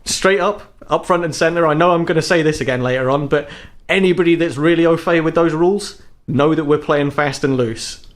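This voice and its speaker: Higher-voiced man, upbeat game master. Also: a little fast. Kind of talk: casual podcast introduction.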